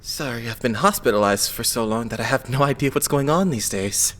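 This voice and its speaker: A woman's voice: extremely low pitch, animated, fairly quick. A woman acting a mild, bewildered young male idol in an anime-style dub. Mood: gently confused.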